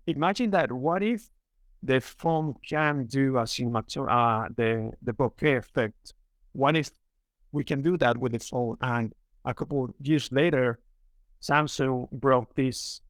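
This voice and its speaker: A young man from Mexico City with a Mexican Spanish accent, speaking English as a guest on a podcast interview, earnest and halting, with many fillers and restarts. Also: medium pitch, clean tone, no echo. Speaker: filmmaker guest.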